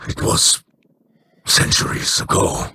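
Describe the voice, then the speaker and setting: A man's voice: takes it slowly, background noise, close to an everyday voice. An actor playing a stern, noble alien leader and father in a video game, solemn and commanding.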